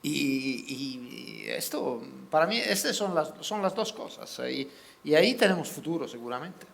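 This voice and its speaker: A middle-aged man with a slight Italian accent, speaking as a panelist in a forum discussion and pausing often with fillers. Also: on the high side, animated, creaky, a bit bright. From Italy. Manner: hesitant, many fillers and restarts.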